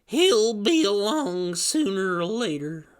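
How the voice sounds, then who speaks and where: Slow, high-voiced old woodland hermit. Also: male voice, sing-song, clear, resonant tone, full character voice. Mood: cryptic, unsettling. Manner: slow, drawn-out, sparse speech. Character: strange old hermit man of the woods, curt, menacing.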